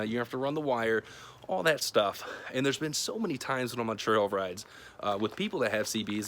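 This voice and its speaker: A man's voice: mid-range voice, fairly bright tone, a little hiss or hum. A man hosting a casual product review to camera in an informal, plain-spoken, conversational way. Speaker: video host.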